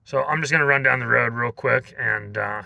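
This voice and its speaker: Bright, gravelly man hosting outdoor review. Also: mid-range voice, moderate pace, no echo. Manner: informal, demonstrative, addressing viewers as guys. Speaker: product reviewer and host. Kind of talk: casual outdoor review vlog. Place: outdoors, partly riding in a vehicle.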